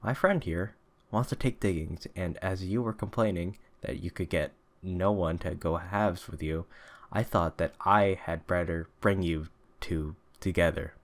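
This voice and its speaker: A man voicing a genial, teasing gentleman friend in a storybook, in a plain conversational way. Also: sits low, measured pace.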